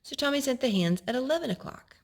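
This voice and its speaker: A woman with a husky voice that often dips into creak reads a picture book aloud for young children. She explains things in an instructive way, as a storytime host talking to a little child. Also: sits high, expressive, quick pace.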